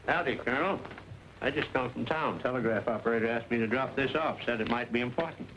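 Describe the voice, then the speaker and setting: A man's voice: medium pitch, quick pace, close to an everyday voice. An actor playing a polite, folksy townsman in an old Western, speaking a little hesitantly. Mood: neighborly. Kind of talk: old Western film acting. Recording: old soundtrack with clear hiss.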